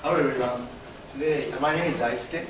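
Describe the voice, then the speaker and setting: Young man, Japanese accent, mid-pitched, presenting in class; halting with fillers, recorded by a far camera in a very echoey room. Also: background noise. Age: young adult. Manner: halting, many fillers and restarts, gestures broadly. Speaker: MBA student presenter. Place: classroom with projector screen.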